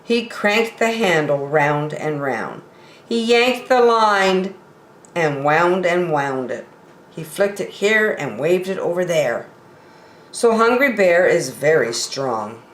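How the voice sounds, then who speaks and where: A woman's voice: fairly low pitch, expressive, takes it slowly. A middle-aged woman reading picture books aloud expressively for young children, in a roomy, echoing home recording.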